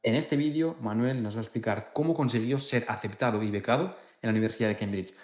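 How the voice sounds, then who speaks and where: A man giving a scripted introduction to a video as channel host, in a clear presenter's delivery. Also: medium pitch, quick pace, echoey room.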